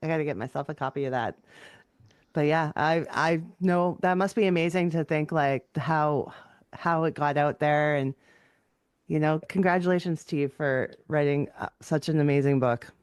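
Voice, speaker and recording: A woman's voice: sits low, moderate pace, a little mellow, clean tone, no room sound, clean studio sound. A woman hosting a casual interview, speaking in an encouraging, complimentary way. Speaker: podcast host.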